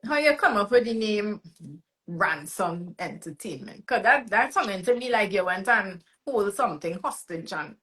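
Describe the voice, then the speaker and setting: A woman hosting a casual interview podcast, animated and teasing, laughing often. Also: medium pitch, moderate pace. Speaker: podcast host.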